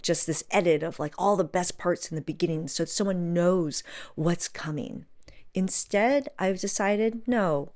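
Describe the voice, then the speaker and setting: A woman hosting a podcast in a casual, animated way, encouraging listeners as she talks to them informally. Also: lowish voice. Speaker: podcast host.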